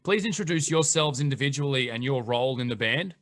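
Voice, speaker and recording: A man's voice: mid-range voice, expressive, fairly quick, a bit bright. A man interviewing a band, asking questions in an upbeat, friendly way. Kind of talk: music interview.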